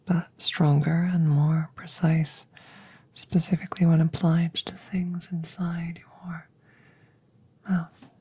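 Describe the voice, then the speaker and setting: A woman playing a calm, curious alien scientist in a soft ASMR roleplay, whispering and murmuring close to the mic in a measured, formal way. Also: sits low, husky, exaggerated voice. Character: calm, clinical, curious female alien scientist examining a visitor. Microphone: close microphone.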